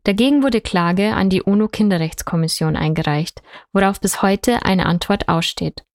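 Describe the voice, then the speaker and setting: A woman reporter narrates a scripted story in a clear, measured way and speaks straight to the viewers. Her voice sits in a middle range and is recorded close and dry. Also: medium pitch, no room sound.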